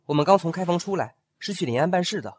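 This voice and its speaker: An actor playing an earnest, kind-hearted young male scholar in an audio drama, with a gentle, earnest delivery. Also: high voice, animated, fast, slightly rough, no echo. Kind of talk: amateur audio drama acting.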